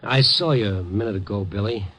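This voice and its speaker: A man's voice: on the low side, fairly quick, a bit bright. An actor playing a kindly, world-weary newspaperman, wry and slangy, in 1950s radio drama with noir-style narration. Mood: gentle, probing.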